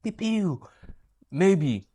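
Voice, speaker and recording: A man's voice: high voice, very slow, husky, dry recording, clean studio sound. Playful young Nigerian man. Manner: animated, playful, jokey asides. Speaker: YouTube creator. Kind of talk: casual tutorial talk to camera.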